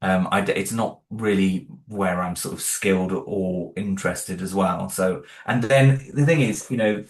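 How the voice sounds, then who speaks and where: A man's voice: low voice, unhurried, few pauses, roomy sound. A man being interviewed as an audio drama creator, chatting casually with many restarts and asides. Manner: rambling, many restarts, laughs along.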